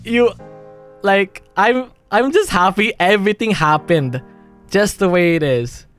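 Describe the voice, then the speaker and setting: A man's voice: high-pitched, unhurried, fairly bright tone, smooth, faint music behind. A young man from the Philippines with a Filipino accent, talking on a livestream in a rambling way, with many restarts and nervous laughs. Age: young adult. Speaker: streamer. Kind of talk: emotional livestream monologue.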